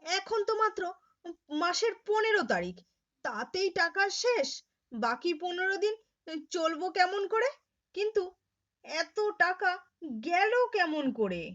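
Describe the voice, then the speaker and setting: A woman playing a thrifty, exasperated mother-in-law in a puppet show, speaking in a scolding, deliberate way. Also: very high voice, sing-song, fairly bright tone, heavily acted. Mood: indignant. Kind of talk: puppet show moral story acting. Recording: dull lo-fi audio.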